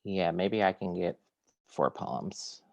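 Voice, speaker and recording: Slow, measured male poet reading aloud. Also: low-pitched, vocal fry, a little mellow, dull audio. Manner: slow, measured reading with hesitant filler-laden introductions. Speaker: poet reading at a virtual series. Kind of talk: poetry reading. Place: home kitchen or similar domestic room. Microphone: video call.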